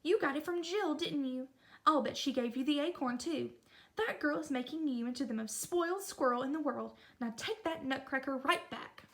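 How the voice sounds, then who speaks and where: Woman voicing a high, scolding mother squirrel. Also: moderate pace. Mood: stern scolding. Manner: stern, fussing.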